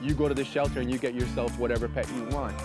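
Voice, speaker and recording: A male spokesman explains things in an informal interview. His pitch is medium, and loud music plays behind him. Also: steady tone, fast. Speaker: public information officer, interviewee.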